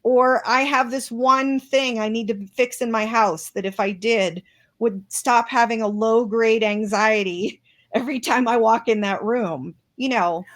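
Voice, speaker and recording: Woman interview guest, high-pitched, earnest and reflective, restarts often. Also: steady tone, a little slow. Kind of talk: interview conversation.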